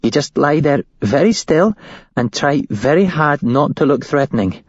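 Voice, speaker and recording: A man's voice: fairly high pitch, animated, moderate pace, no echo, dull audio, voice pushed up. A man voicing a shaken young woman who was held hostage, recounting it shakily and at a tumble. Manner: shaky, tumbling recollection.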